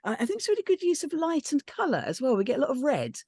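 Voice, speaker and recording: A woman's voice: high-pitched, huge pitch swings, quick pace, a little mellow. A woman on a reading panel giving casual, tentative feedback, circling round her phrases. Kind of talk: casual discussion.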